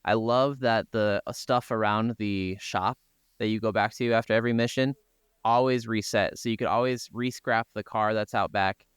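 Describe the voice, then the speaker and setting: Male co-host, fairly deep and crisp, informal agreeable game talk, recorded close and dry. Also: fairly even intonation, moderate pace, no echo. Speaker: podcast co-host. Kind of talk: casual video game discussion.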